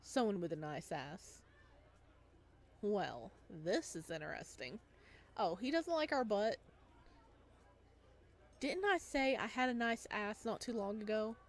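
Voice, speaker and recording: A woman's voice: medium pitch, very animated, measured pace, pauses often. A woman gaming streamer with a giggly, playful manner, reading visual novel text aloud and commenting as she plays.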